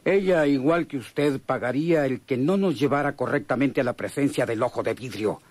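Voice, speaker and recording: Un actor que interpreta a un jefe de maleantes mexicano, cruel, burlón y amenazante, en una radionovela. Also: male voice, mid-range voice, moderate pace, a little mellow, close to an everyday voice. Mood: threatening. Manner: taunting, threatening. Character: menacing, mocking male gang boss, cruel. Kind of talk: Mexican radio drama acting.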